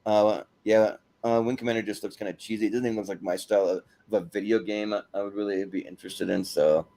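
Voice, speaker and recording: A man's voice: lowish voice, steady tone, quick pace, vocal fry, mellow. A man hosting an informal panel discussion, chatting casually. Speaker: host of a panel show.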